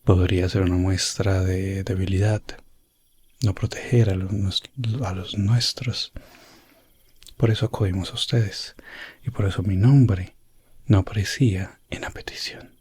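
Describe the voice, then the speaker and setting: A man voicing a grave, guarded queen in a whispered fantasy ASMR story, speaking formally and haltingly. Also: sits low, fairly even intonation, darkish tone, husky, full character voice. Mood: grave, worried. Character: grave, guarded queen pleading for her kidnapped daughter.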